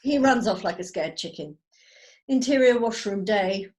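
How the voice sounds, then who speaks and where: A woman's voice: mid-range voice, animated, moderate pace, very noisy. A woman narrating scripted stage directions at a drama table read held over a video call.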